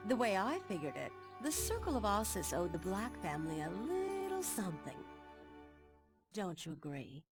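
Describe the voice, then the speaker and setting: Bold, animated woman adventurer. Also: medium pitch, normal speed, music in the background. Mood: reflective, wry. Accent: American. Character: determined young woman adventurer and company leader, bold, wry. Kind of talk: video game cutscene acting.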